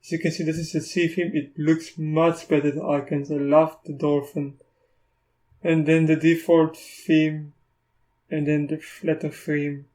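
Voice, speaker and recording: A South African man whose first language is Afrikaans, casually talking through a screen recording of software, often stopping and restarting. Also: a little high, little pitch movement. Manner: halting, restarts often, thinks aloud. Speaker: tech YouTuber.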